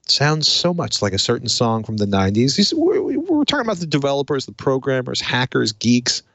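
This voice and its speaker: A man with a noticeable creak in his mid-pitched voice, hosting a tech show. The sound is dry and close, and he talks in a conversational, slightly wry style. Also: a bit bright, dry recording. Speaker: podcast host. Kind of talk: casual tech talk show with a read sponsor message.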